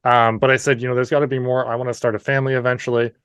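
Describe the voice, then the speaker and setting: Canadian guy, 30s, interview guest, easygoing storyteller, medium pitch. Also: little pitch movement, racing, fairly bright tone, clear, resonant tone, no echo. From New Brunswick, Canada. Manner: friendly, chatty, frequent "you know" asides.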